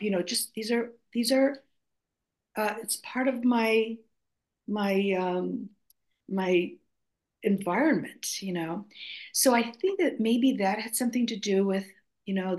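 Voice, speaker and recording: A woman's voice: mid-range voice, unhurried. An older woman whose first language is Greek, speaking as an author guest over a video call, telling stories in a reflective way with many restarts. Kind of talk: conversational storytelling. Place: home room, video call.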